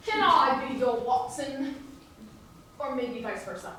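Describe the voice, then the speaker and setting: A woman's voice: high-pitched, big pitch swings, a little slow, fairly bright tone, slight background noise, heavily acted. A woman playing a plucky, eager young amateur sleuth in a spirited musical theatre style. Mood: eager, playful. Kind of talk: musical theatre stage acting. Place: staged reading before live audience. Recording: echoey hall, distant mic, music behind.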